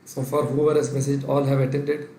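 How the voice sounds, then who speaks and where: A man's voice: medium pitch, flat delivery, a little fast, warm tone. Indian english guy teaching finance live online, calls out viewers by name, echoey room with a bit of hiss in the back. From Kerala, India. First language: Sindhi. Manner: dictates steps, reads out viewers' names and chats to them. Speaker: finance lecturer. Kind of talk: live online revision lecture.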